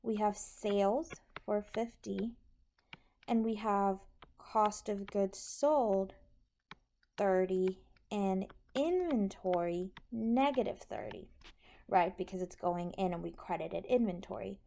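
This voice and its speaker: A woman's voice: mid-range voice, slow, creaky, dark tone, a little hiss or hum. A woman instructor patiently explaining a tutorial step by step, often checking that the listener is following. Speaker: accounting instructor.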